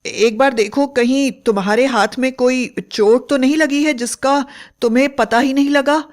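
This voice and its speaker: A woman's voice: high-pitched, steady tone, moderate pace, pitched above the performer's own voice, close to an everyday voice. A woman voicing an anxious, devoted, trusting young husband in a storybook tale, earnest and emphatic. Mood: worried. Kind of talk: dramatized storybook acting.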